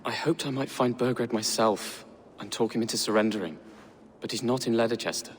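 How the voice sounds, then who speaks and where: An actor playing a nervous young Saxon prince in a video game, speaking earnestly and hesitantly with a husky, breathy voice. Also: male voice, medium pitch, brisk, long reverb tail, natural voice. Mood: anxious, earnest. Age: young adult. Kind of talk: dramatic video game acting.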